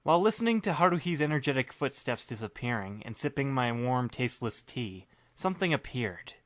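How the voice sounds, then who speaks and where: A man playing a sarcastic, weary teenage boy who narrates in a deadpan voice. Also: medium pitch, brisk, no room sound, lightly acted. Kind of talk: first-person audiobook narration.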